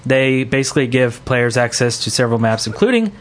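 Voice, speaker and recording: A man's voice: medium pitch, lively intonation, brisk. A man hosting a gaming podcast in a casual, chatty style. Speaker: podcast host.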